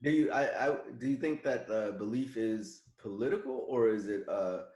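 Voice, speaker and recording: A man's voice: fairly low pitch, normal speed, darkish tone, echoey room. An American man co-hosting a podcast, speaking casually, hunting for words and swearing now and then. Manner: searching for words, profane. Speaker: podcast co-host. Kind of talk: casual political chat.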